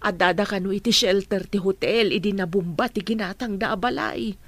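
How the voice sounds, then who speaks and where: A woman's voice: mid-range voice, a little fast, dry recording, close to an everyday voice. A woman acting a worried, tender mother of grown children in an Ilocano-accented radio drama, speaking in a plaintive, caring way. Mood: concerned.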